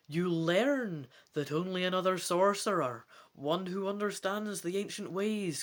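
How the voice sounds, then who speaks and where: A woman with a slightly low voice reads a gamebook aloud as its host. Her delivery is theatrical and very animated in pitch. She moves between storytelling and casual, playful commentary. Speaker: host of a gamebook let's play. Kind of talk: dramatic reading aloud with casual asides.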